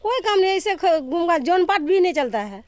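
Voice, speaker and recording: A woman's voice: very high-pitched, sing-song, normal speed. A middle-aged village woman with a rural Bihari accent, giving plain interview answers outdoors. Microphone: interviewer's handheld microphone.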